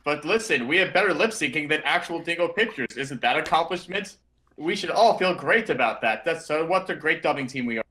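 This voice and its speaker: Bright-voiced man in comic banter. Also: on the high side, lively intonation, brisk. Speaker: fan-dub voice performer.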